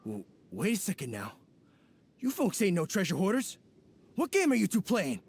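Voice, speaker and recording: A bumbling folksy young thief. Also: male voice, sits high, wildly swooping pitch, moderate pace, husky, full character voice. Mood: suspicious. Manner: folksy drawl with slangy grammar. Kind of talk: video game voice acting.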